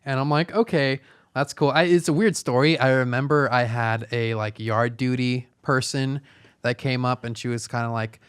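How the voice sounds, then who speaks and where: Smooth, clear-voiced young man chatting. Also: mid-range voice, fairly even intonation, normal speed. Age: young adult. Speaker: co-host of a movie review podcast. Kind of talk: casual movie discussion chat.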